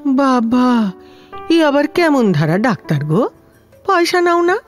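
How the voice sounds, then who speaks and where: A woman's voice: high-pitched, sing-song, takes it slowly, faint music behind, full character voice. A woman playing a talkative, grumbling elderly widowed housemaid with a Kolkata Bengali accent in an audio drama, speaking in a colloquial, plaintive, scolding way. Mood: chatty, suspicious.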